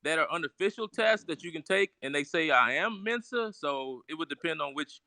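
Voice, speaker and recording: Male guest, reflective rambler, bright and close, dry sound. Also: on the high side, expressive, a little fast, dry recording. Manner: rambling, reflective, laughs often. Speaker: guest on a live stream chat; doctor. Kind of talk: casual live-stream chat.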